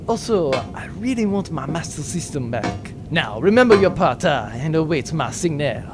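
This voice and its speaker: A man's voice: on the high side, sing-song, normal speed, music in the background. An actor playing an earnest, self-doubting French spaceship crewman in a comic audio drama, formal and hesitant, with a French accent. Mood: anxious, resolute. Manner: formal, hesitant, with odd word choices.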